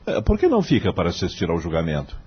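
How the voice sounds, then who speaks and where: Audio drama actor: dignified elderly Brazilian male judge, formal yet animated, muffled sound. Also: low-pitched, very fast, breathy edge, full character voice. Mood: kindly, dignified. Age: older. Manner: formal, benevolent. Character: kindly, dignified old law professor and judge.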